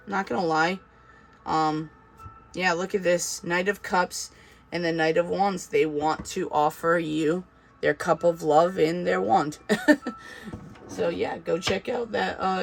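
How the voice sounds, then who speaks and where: A man's voice: a little high, creaky. A man with a slight Boston accent giving a casual, reassuring tarot reading, talking to viewers with many fillers and asides. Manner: chatty, reassuring, with frequent fillers and laughs. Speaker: tarot reader.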